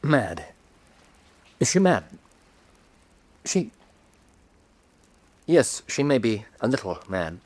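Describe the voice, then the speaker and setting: Earnest hesitant painter, mid pitch, dry close sound. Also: male voice, big pitch swings, takes it slowly, long pauses, darkish tone, no room sound. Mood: defensive. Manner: hesitant, earnest, stiffly proper. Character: earnest, defensive male painter. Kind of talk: radio drama acting.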